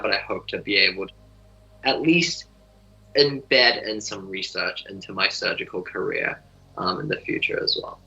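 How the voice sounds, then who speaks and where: A young man, a medical student answering interview questions in a relaxed, rambling way full of fillers. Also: fairly low pitch. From New Zealand. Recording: dull lo-fi audio.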